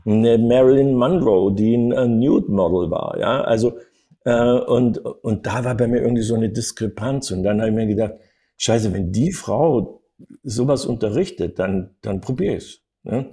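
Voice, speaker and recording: An older man telling anecdotes as an interview guest, in an animated storytelling manner with frequent little tag words. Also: mid-range voice, moderate pace. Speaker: acting coach and interview guest.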